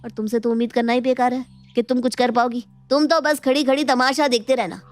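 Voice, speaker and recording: Lady doing sly young nephew in a cartoon story, lowered voice, sucks up and brags, faint music. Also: fairly even intonation, fairly quick, no echo, natural voice. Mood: stubborn, scheming. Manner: ingratiating, boastful. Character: scheming, flattering young nephew, eager to please. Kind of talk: animated Hindi moral story dialogue.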